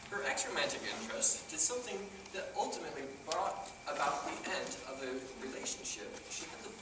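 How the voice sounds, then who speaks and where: Young male, actor as awkward pedantic brainiac, stage comedy, animated pitch, very echoey hall. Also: fairly quick. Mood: lecturing, analytical. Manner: pedantic, formal, wordy. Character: awkward, analytical young man named Steve, pedantic know-it-all. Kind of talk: amateur stage comedy acting. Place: theater stage, indoors. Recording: echoey theater, distant mic, clearly noisy.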